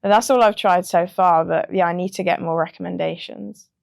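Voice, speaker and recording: A woman's voice: lowish voice, fairly quick, creaky, no echo, studio quality. A young British woman, a music producer, chatting casually in an interview with plenty of ums. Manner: relaxed, chatty, frequent ums and laughs.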